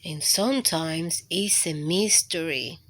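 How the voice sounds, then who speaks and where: A woman hosting storytime for children, reading aloud with deliberate phrasing and speaking straight to her young listeners. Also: low voice, fairly bright tone.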